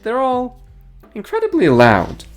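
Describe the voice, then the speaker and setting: Sardonic YouTube commentator, lively pitch. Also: male voice, unhurried, loud music behind the voice. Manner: dry, mocking, deadpan asides. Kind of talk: sarcastic commentary. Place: garage.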